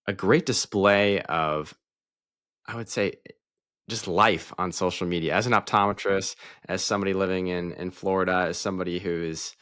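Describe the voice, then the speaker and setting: Deep-voiced upbeat man hosting a podcast. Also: fairly even intonation, normal speed, fairly bright tone, clean tone, dry recording, clean studio sound. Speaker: podcast host.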